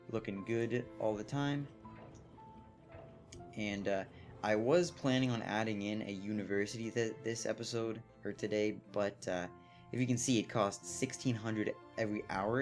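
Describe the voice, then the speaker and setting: A young man giving casual gameplay commentary, thinking aloud with fillers, his voice a little hoarse. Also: on the low side, darkish tone. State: slightly hoarse. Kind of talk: casual let's play commentary.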